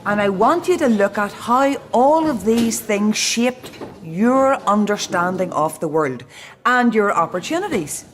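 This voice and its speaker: A woman playing a brisk, exacting prison education teacher in a radio play, firm and instructive. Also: mid-range voice, animated, slight background noise, close to an everyday voice.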